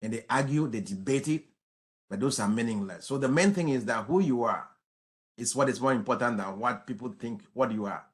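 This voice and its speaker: An older man answers from his office on a video call, picked up by the computer's own mic. His voice is mid-pitched and he explains his view steadily and deliberately, like a doctor giving advice. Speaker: physician panelist. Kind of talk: conference panel answer.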